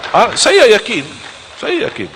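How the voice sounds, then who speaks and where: Joking preacher from Medina. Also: male voice, sits high, measured pace, long reverb tail, music in the background. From Medina, Saudi Arabia. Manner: joking, lively storytelling. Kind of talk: humorous sermon to a live audience.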